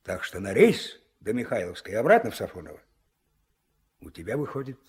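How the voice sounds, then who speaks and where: Husky, animated Soviet river boss. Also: male voice, fairly low pitch, brisk, heavily acted. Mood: serious, pressing. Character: genial but pressing male river-port dispatch chief. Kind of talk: 1970s Soviet radio play acting. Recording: old mono radio play recording.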